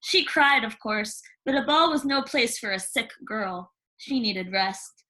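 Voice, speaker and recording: A woman narrates a children's storybook with theatrical flair. Her voice is high and bright, and it moves up and down in a very animated way with dramatic pauses. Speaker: storybook reader. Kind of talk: expressive children's read-aloud narration.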